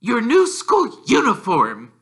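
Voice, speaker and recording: A man voicing a sharp, impatient middle-aged aunt in a storybook reading, curt and snappish. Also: extremely high pitch, huge pitch swings, slow pace, pitched above the performer's own voice, exaggerated voice.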